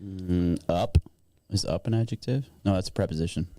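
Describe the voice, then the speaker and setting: A man's voice: deep voice, normal speed, mellow, no echo, clean studio sound. A man co-hosting a podcast with casual, bantering chat. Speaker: podcast co-host.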